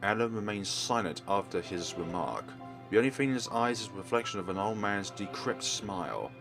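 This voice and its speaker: A man's voice: lowish voice, fairly quick. A man narrating a let's play, reading a visual novel aloud as dramatic storytelling. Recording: game music behind voice.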